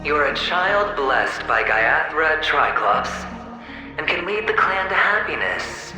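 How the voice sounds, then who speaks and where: Game villain-self: mocking, theatrical young man, slow swaggering delivery, heavily reverberant. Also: on the high side, sing-song. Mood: needling. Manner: mocking, drawn-out, sing-song swagger with sighs and chuckles. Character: taunting, theatrical inner self of a young male gambler. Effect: echoing reverb on the voice. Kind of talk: video game voice acting. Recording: echoing game cutscene with music. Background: music.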